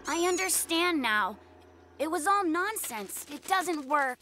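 Cocky, very high-voiced bear cub. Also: lively intonation, a little slow, slight background noise, full character voice. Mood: humbled. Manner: bragging, dramatic. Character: young polar bear cub, cocky, anxious underneath. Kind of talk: children's cartoon voice acting. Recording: loud music behind the voice.

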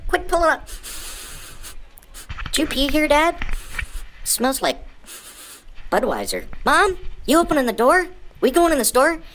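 Chatty, eager pug dog played by a woman, cheeky pet voice-over, slightly high and very animated. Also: slight background noise. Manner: rambling, eager, addresses owners as Mom and Dad. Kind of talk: improvised pet voice-over narration.

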